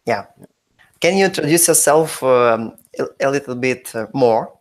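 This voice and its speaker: A man's voice: mid-range voice, animated, measured pace. A man with a Russian accent hosting a live-streamed interview, friendly and searching for words as he repeats his questions. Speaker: host, freelancer interviewing his client. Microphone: video call.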